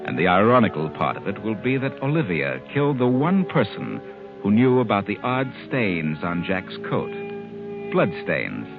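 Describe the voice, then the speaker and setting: An actor playing a sinister, all-knowing storyteller who narrates an old radio mystery in an ominous, sardonic manner. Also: male voice, on the low side, big pitch swings, normal speed, phone-quality sound. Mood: ominous, gloating. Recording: old 1940s radio recording with music.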